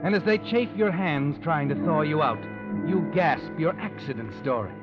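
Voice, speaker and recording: A sinister, all-knowing storyteller, performed by a man in 1940s radio noir style. His slightly high voice is ominous and insinuating, set against clear music on a dull old recording. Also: lively intonation, moderate pace, natural voice. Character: sinister all-knowing male narrator haunting a guilty man.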